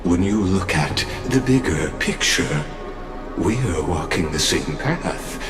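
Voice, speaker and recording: A very slow, deep-voiced elder watcher speaks with solemn, courtly formality. He declaims in a measured, cryptic, theatrical way, as a video game character, with echo around the voice and music behind it. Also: expressive. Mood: courteous, persuasive. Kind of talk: scripted video game voice acting.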